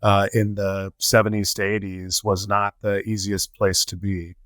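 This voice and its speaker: Middle-aged guy, lowish voice, slow and thoughtful with lots of ums, dry close mic. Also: level tone, fairly bright tone, dry recording. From United States. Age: 40s to 50s. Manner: measured, many filler "uh"s and "um"s. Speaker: podcast guest, nonprofit CEO. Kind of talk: reflective interview answers.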